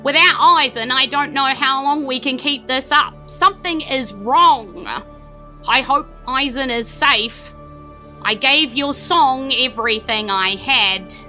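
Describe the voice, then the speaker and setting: A woman voicing a tired, grieving man who keeps a workshop, speaking slowly and heavily. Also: sits high, sharp tone, soft background music, exaggerated voice. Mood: weary, sorrowful. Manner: slow, heavy, sorrowful.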